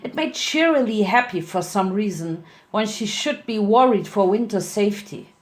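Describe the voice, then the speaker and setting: A woman's voice: mid-range voice, expressive, normal speed. A woman giving a dramatic narrated reading of a story. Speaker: fan fiction reader.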